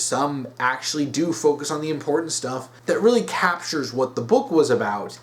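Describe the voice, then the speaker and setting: A man hosting a solo opinion video, speaking off the cuff in an emphatic, halting way and repeating himself to stress his points. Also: on the high side. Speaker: host of a weekly topic video series. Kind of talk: unscripted solo opinion commentary.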